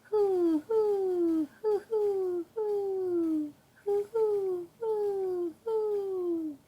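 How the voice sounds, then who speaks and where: A woman's voice: very high-pitched, drawn-out words, lo-fi sound, pitched above the performer's own voice, exaggerated voice. A woman imitating the hooting call of an owl in a storybook read-aloud. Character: owl hooting call, echoed through woods.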